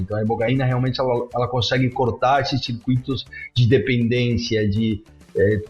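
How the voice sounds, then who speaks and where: A man with a mid-range voice talks in an animated, restarting way, often ending points with a quick check to the listener. His sound is roomy and dull, with faint music behind him. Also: medium pitch. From São Paulo, Brazil. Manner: animated, frequent restarts and "tá?" tags. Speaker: guest, psychedelic clinic CEO. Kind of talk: conversational interview answers. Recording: roomy, dull remote audio.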